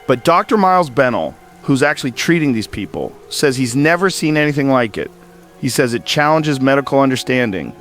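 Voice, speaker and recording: A man's voice: mid-range voice, expressive, brisk, a bit bright, lightly acted. A man playing a curious, skeptical host of a news interview in a radio drama, probing and a little incredulous. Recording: music playing under the voice. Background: background music.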